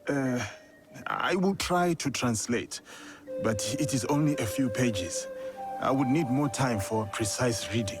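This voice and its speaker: An actor playing a calm, thoughtful warrior in a video game cutscene, speaking with measured, careful hesitation. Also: male voice, medium pitch, loud music behind the voice, close to an everyday voice. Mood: hesitant, careful.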